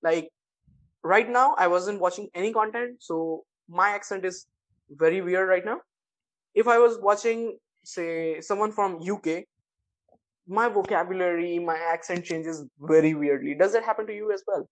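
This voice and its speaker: A man's voice: high voice, normal speed, low-quality recording. A man from India with an Indian English accent, a native Hindi speaker, hosting a podcast and asking questions in a casual, hesitant way. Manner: hesitant, lots of fillers and restarts, curious. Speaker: podcast host.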